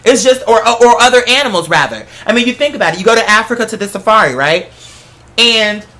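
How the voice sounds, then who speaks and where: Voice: medium pitch, animated, a little fast, pushed and bright. A solo host in a casual, rambling monologue, talking in an emphatic, conversational way with some swearing. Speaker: host of a solo talk show. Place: apartment.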